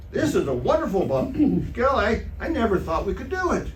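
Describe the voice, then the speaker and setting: A man playing a gullible, excitable, wisecracking husband in an old-time radio comedy, with a folksy, stammering, exclamatory delivery. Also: sits high, normal speed. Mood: excited. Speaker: amateur theater troupe actor. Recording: roomy, distant stage recording with faint noise.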